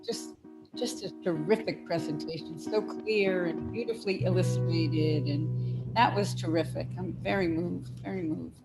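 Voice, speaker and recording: Effusive, moved woman, guitar loud behind. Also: lowish voice, a little slow, rough recording.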